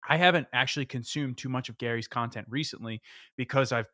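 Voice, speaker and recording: A man's voice: medium pitch, fast, bright, forceful tone, dry recording, clean studio sound. A man hosting a podcast, talking in a hesitant, enthusiastic way and often repeating words. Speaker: podcast host. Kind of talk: casual interview conversation.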